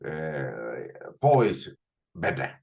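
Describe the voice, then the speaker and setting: A very deep-voiced man with an Estonian accent leads a live online coding lesson from a small room at home. He thinks aloud as he works, restarting and repeating words often. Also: very animated, slow pace, a little mellow. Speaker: instructor.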